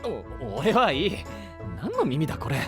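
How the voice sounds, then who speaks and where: A man playing a brusque, easily flustered but earnest young knight in an anime voice drama, with blunt rough casual speech. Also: fairly high pitch, huge pitch swings, a little slow, over music. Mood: exasperated.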